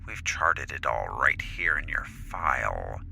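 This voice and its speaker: An extremely deep-voiced, menacing corporate counselor played by a man speaks slowly and imperiously in an audio drama. His gravelly delivery has a hard, very sharp edge, carried over a crackly radio transmission. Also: sing-song, exaggerated voice. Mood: accusing, threatening. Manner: deliberate, imperious, threatening. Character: menacing, self-important male corporate counselor villain. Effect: radio transmission with interference. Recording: faintly noisy, distorted radio transmission.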